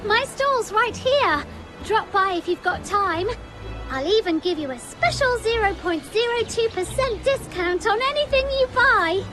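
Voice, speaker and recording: Perky little game guide girl, extremely high swooping voice, bubbly salesmanship, music loud behind. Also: animated, measured pace. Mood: cheerful. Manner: bubbly, exclamatory, playful. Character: perky small high-pitched female guide and stall merchant. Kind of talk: video game character acting.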